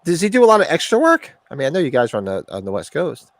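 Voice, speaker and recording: A man's voice: on the high side, huge pitch swings, very fast, no echo. A man in his forties with a sniffly voice from allergies, chatting casually, rambling and swearing as he jokes. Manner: profane, rambling, joking. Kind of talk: casual chat.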